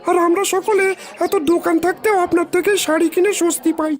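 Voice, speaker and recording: A woman voicing a trusting, polite customer who speaks approvingly in an animated moral story. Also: very high-pitched, quick pace. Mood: appreciative. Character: trusting, appreciative woman customer at village market.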